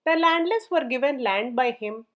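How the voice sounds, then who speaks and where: A woman's voice: high voice, expressive, normal speed, fairly bright tone. A woman storyteller reading a scripted folk tale as narration.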